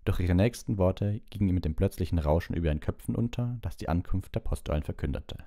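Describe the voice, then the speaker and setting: A man's voice: deep voice, expressive, fast, mellow, no room sound, clean studio sound. A man narrating a novel as an audiobook, reading from a script.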